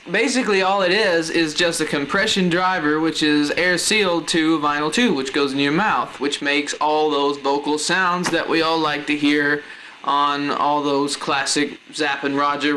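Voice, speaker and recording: Bright-voiced man giving a casual tutorial. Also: fairly high pitch, fairly even intonation, unhurried, poor audio. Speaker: presenter of a DIY how-to video. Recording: noticeable background noise.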